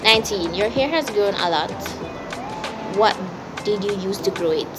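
A woman's voice: medium pitch, lively intonation, normal speed, music in the background. A young woman with a Jamaican accent answers viewer questions in a blunt, chatty way, slipping into patois, filmed on a phone at arm's length. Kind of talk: casual Q&A chat. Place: indoors against a plain wall. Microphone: camera or phone at arm's length.

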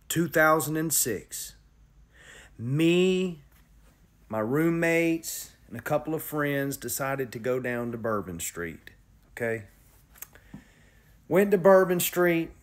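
A man in his thirties from New Orleans, casually telling a story to a phone held at arm's length in a small bedroom, acting out the moves with drawn-out emphasis. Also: medium pitch. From New Orleans, Louisiana. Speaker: rapper vlogger.

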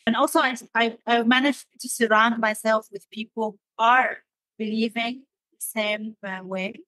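A woman's voice: on the high side, steady tone, moderate pace, sharp tone, no echo, rough recording. Woman, dry close sound. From Damascus, Syria. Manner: hesitant, searching for words. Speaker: podcast guest, designer. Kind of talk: thoughtful interview conversation.

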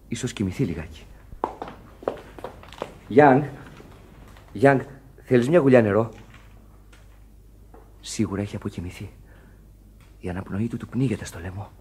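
An actor playing a rough, loyal sailor in a radio play, with a husky voice and blunt, earnest delivery. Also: male voice, lowish voice, pauses often, darkish tone, slight background noise, natural voice. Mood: hushed concern. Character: rough, loyal sailor, protective of his mate. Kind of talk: Greek radio play acting.

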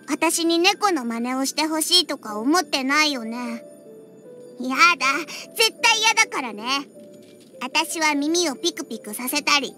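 High, bright, pouty little catgirl. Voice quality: high, childlike, cutesy voice. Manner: pouty, huffy, with cat-like verbal tics. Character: feisty little catgirl bartender who hates alcohol. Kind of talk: Japanese anime game character acting. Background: background music.

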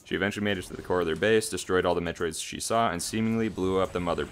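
A man's voice: sits low, steady tone, very fast, slight background noise. A man hosting a gaming show, bantering casually and reading aloud over a video game.

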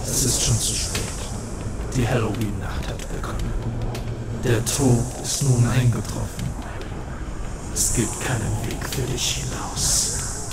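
A man playing a sinister demon that has taken over a young man's body, talking in a cold, taunting way, in an amateur audio drama with loud background noise. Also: medium pitch, steady tone, slow pace, long pauses. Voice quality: cold, taunting, sometimes whispering. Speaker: villain.

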